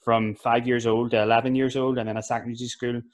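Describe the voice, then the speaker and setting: A young man with a Belfast accent, telling about his life in a relaxed, laughing way. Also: medium pitch, quick pace, very noisy. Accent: Northern Irish, Belfast. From West Belfast, Northern Ireland. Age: young adult. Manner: laid-back, laughs easily, fillers. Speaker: youth worker and rapper. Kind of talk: casual interview.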